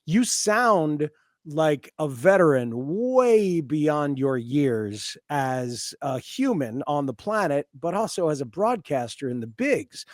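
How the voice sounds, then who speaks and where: An American man speaks as a sports podcast host in casual, wordy commentary. His pitch is a little high for a man, and he lands his points with emphasis. The recording is close and dry. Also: very animated, takes it slowly, dry recording.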